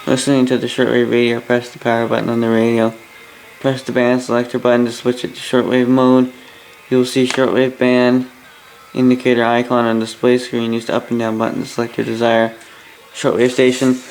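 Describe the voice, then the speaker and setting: A Canadian man talks through a casual hobbyist review in a flat, mid-pitched voice. He rambles and grumbles in asides, with mild swearing. There is faint noise behind him. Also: low-quality recording.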